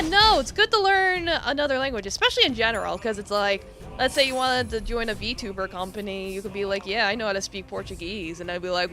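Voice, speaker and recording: Chatty bright-voiced woman streamer. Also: a little high. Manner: chatty, giggly, rambling with many fillers. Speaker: VTuber streamer. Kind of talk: casual live-stream chat while gaming.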